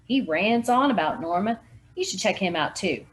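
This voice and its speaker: A woman's voice: medium pitch, expressive, moderate pace, slight background noise, close to an everyday voice. A woman reading aloud, voicing a blunt, scruffy local man who talks in an offhand way.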